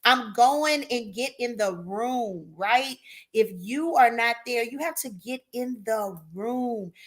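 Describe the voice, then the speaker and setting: Slow-talking hype host addressing "y'all". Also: female voice, fairly high pitch. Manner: hypes the audience with "y'all" and "queen". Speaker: talk show host. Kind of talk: upbeat live show hosting.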